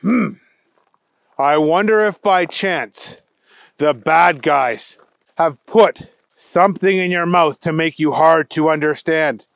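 A man's voice: on the high side, takes it slowly, creaky, fairly bright tone, dry recording, heavily acted. A man voicing a goofy, earnest secret agent in a children's story. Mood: puzzled. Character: goofy bumbling male secret agent, adult.

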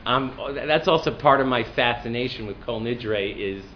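A man with a deep voice, a rabbi giving a lecture, talking thoughtfully with restarts and fillers. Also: normal speed, muffled recording, slight background noise. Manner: thoughtful, self-deprecating, with frequent restarts and fillers. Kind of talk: classroom lecture.

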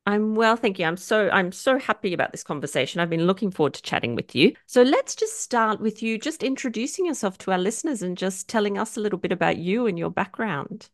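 A woman's voice: mid-range voice, animated, a little fast, few pauses, no echo, clean studio sound. A woman hosting a history podcast, welcoming and encouraging her guest. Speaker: podcast host. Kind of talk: podcast interview.

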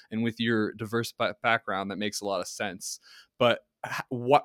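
A man's voice: medium pitch, fairly bright tone, dry recording, studio quality. A man with a New Jersey accent hosting a conversational interview show, talking casually with loose phrasing. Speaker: podcast host.

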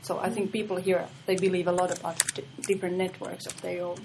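A woman's voice: fairly low pitch, level tone, fast talker, gravelly, noisy background. A woman, a university business lecturer, chatting agreeably and often affirming others. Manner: agreeable, frequent affirming interjections. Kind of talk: casual discussion.

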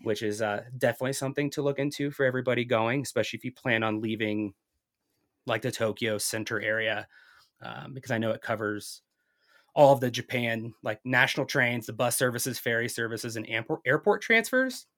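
A man's voice: mid-range voice, brisk, fairly bright tone. A man speaking native English in a casual chat as a podcast guest, telling things in a rambling, storytelling way.

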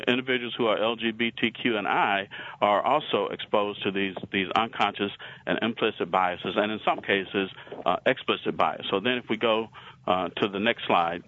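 A man from Alabama with a Southern accent, giving a slide lecture in a measured way, with many pauses and restarts. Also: lowish voice, fairly bright tone, highs cut off. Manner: measured, frequent uh fillers and restarts.

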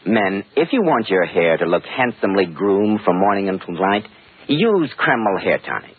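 A man working as a 1940s radio announcer, giving a polished, emphatic sponsor pitch. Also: very animated, moderate pace, husky, dry recording. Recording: tinny, band-limited 1940s radio recording.

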